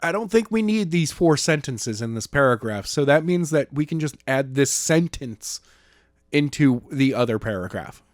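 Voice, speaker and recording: A man bantering casually as a podcast co-host, with a playful, expressive and exclamatory way of talking. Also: fairly high pitch, normal speed, no room sound, studio quality. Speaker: podcast co-host and chapter reader.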